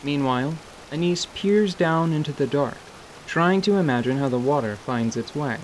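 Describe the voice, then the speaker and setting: A man narrating scripted fantasy in a measured storytelling manner. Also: a little high, animated, slight background noise, low-quality recording.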